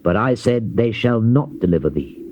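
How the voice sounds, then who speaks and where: Solemn theatrical guy reading old bible english, mellow voice, muffly old cassette w some noise. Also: on the low side, animated, lo-fi sound. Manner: solemn, theatrical, measured archaic diction. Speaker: scripture narrator playing the prophet Jeremiah. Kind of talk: dramatized King James Bible reading.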